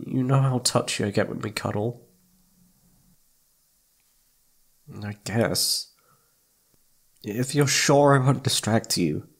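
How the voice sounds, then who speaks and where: A man playing a needy, affectionate young boyfriend in an intimate ASMR roleplay, speaking in a soft, pleading, hesitant way. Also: medium pitch, unhurried. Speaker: audio roleplay voice actor.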